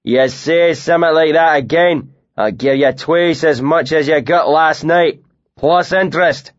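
Man putting on a big mocking mercenary, thick northern accent, loud swaggering drawl, dry muffled audiobook sound. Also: on the high side, unhurried, no room sound, pitched above the performer's own voice, lightly acted. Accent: northern English dialect, put on. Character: loud brawny bearded male mercenary bully.

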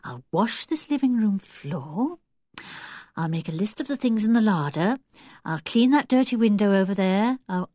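A woman voicing an anxious, squeamish young girl in a storybook, fretful and expressive. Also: mid-range voice, moderate pace, no room sound, clean studio sound, close to an everyday voice. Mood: brisk planning.

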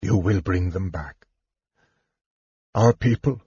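A man voicing a dying old elf king who speaks quietly and heavily. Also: low voice, takes it slowly, breathy edge, no room sound, highs cut off, heavily acted. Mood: sorrowful.

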